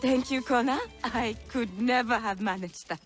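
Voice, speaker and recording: A woman playing a cheerful, friendly colonial settler in a video game, with a gentle, homely, polite manner. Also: a little high, normal speed, over music, lightly acted. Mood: grateful, content. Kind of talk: video game cutscene acting.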